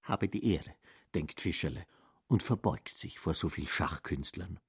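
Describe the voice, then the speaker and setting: An actor playing a sly, cocky male dwarf chess hustler in a radio drama, theatrical and rough-voiced. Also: deep voice, unhurried, dry recording, studio quality, exaggerated voice. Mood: smug, musing.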